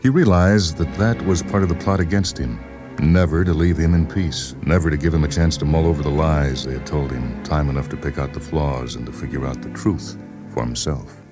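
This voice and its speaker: A man narrating a short story for radio as host, in a measured, dramatic manner. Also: very deep voice. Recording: voice over background music.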